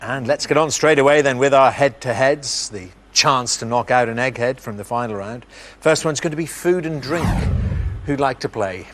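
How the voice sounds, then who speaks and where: A man hosting a television quiz show in a studio, friendly and bantering, reading questions with measured pauses. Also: medium pitch.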